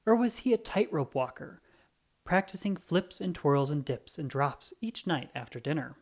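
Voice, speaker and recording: A storybook narrator with a mid-range voice reads aloud to children. The delivery is expressive and dramatic, with pitch that swings freely through the phrases. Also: moderate pace. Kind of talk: animated scripted storybook read-aloud.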